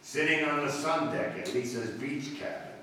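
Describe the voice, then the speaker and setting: A man's voice: on the high side, moderate pace, bright, forceful tone. A man playing the knowing, dramatic narrator of a 1940s-style radio suspense mystery. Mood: knowing, suspenseful. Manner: dramatic, addresses the character directly. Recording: distant echoey hall, faint noise.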